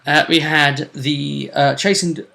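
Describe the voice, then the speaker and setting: A man co-hosting a gaming vidcast, giving a casual, measured recap and commentary in slightly formal wording. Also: a little high, steady tone, vocal fry, bright, forceful tone, a little hiss or hum. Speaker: co-host of a tabletop roleplaying vidcast.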